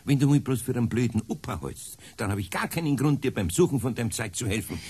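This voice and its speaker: Radio play: homely, grumbling older man, Bavarian carpenter, husky, animated delivery. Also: mid-range voice, fast, full character voice. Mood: offended. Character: grumpy, kindly old Bavarian carpenter uncle.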